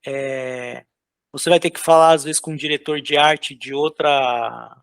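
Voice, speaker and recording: A man from São Paulo, a concept artist, chatting casually in an interview while drawing, with loose, halting speech full of fillers. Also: medium pitch, steady tone, gravelly, smooth, dry recording, clean studio sound. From São Paulo, Brazil. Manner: loose, hesitant, lots of filler words and restarts.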